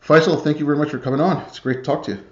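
A man's voice: a little high, fairly even intonation, rapid-fire, a little mellow, reverberant, highs cut off. A man hosting an interview, introducing his guest in a friendly, informal way. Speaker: host.

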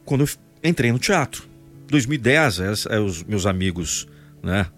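A man's voice: lowish voice, animated, moderate pace, soft background music. A man in his forties with a Brazilian São Paulo state accent, telling stories expressively and emphatically in a casual podcast conversation. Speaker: podcast host, voice-over announcer and actor.

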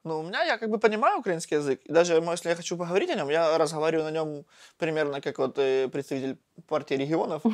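A man in a casual discussion, talking quickly and lively, often laughing. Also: fairly high pitch. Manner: fast, animated, laughing, acts out quotes.

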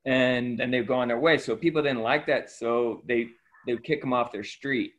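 A man's voice: medium pitch, fairly even intonation, normal speed, fairly bright tone. A middle-aged man from Ecuador, an interview guest telling his story conversationally, on a slightly dull recording. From Ecuador, raised by missionary parents. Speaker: interview guest, missionary aid worker.

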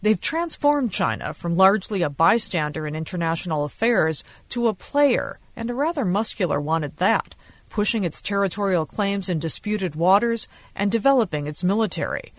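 Woman foreign correspondent reading a radio news story, mid pitch, very dry recording. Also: lively intonation, moderate pace, no room sound. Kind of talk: scripted radio news report narration.

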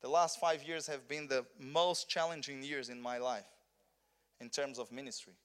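A man's voice: mid-range voice, fairly even intonation, normal speed, fairly bright tone, clean tone. A Brazilian man, a Portuguese speaker preaching in English at a conference, earnest, with many restarts and repeated words. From southern Brazil. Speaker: pastor. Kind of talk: conference sermon.

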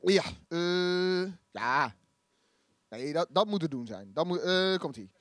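A man's voice: a little high, animated, slow pace, fairly bright tone, no room sound. A man playing a stubborn, bumbling starship captain in a comedic radio play, blustering and stammering. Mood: hesitant, evasive. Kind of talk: live comedic sci-fi radio play acting.

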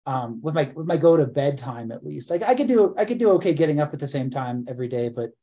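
A man's voice: a little high, animated, quick pace, mellow, dull audio. A man in his forties hosting a casual interview, friendly, often repeating words as he starts sentences. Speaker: podcast host.

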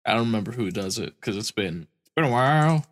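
A man's voice: lowish voice, lively intonation, quick pace. Stuffy, tired man on a podcast. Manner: tired, rambling, swears casually. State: stuffy nose from a cold. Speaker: podcast co-host. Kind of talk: casual podcast chat.

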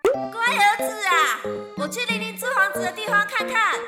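Woman acting a very high-pitched breezy mother. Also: animated, normal speed. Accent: Taiwanese. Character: breezy, forgetful middle-aged mother of grown children. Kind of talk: radio drama acting. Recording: roomy echo, loud music behind.

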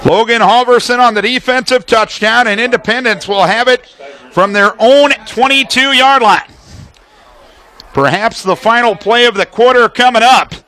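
High-pitched American football radio announcer, man. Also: moderate pace. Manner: animated, clipped phrasing, shouts on big plays. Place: stadium press booth.

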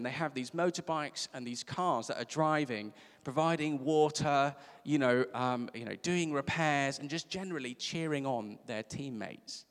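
A man from Africa, preaching a sermon in a conversational storytelling way with emphatic repeats. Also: fairly high pitch. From South Africa. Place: church service. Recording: reverberant church hall.

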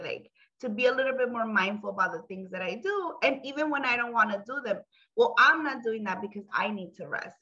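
A woman's voice: mid-range voice, pushed and bright. A woman from the Bronx, a podcast guest who talks in a chatty, reflective way and laughs often. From the Bronx, New York. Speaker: academic advisor. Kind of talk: casual podcast chat. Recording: dull lo-fi sound.

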